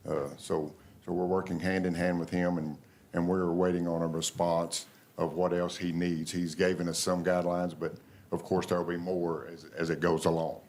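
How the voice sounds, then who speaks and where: A man, an engineer addressing a planning commission in a halting way, with many fillers and restarts. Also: low-pitched, level tone, darkish tone. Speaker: engineer for applicant. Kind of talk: public comment at meeting.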